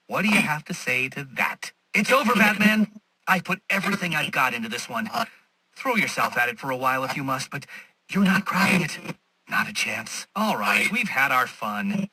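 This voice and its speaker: Video game villain: superior, taunting intellectual, piercingly bright edge, highly animated delivery, sarcastic and pompous. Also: male voice, breathy edge, dry recording, heavily acted. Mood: gloating. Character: smug, condescending male genius villain, taunting and superior.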